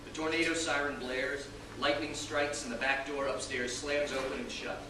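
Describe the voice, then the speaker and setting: A man's voice: mid-range voice, little pitch movement, normal speed. Echoey male screenplay narrator. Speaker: narrator reading stage directions. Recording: distant, very echoey room with noise.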